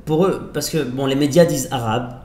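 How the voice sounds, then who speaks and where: A man's voice: medium pitch, brisk, warm tone, slight background noise. Male podcast host from Belgium, earnest and reflective, with a roomy echo on the recording. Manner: earnest, reflective, slightly hesitant. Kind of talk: casual conversational interview.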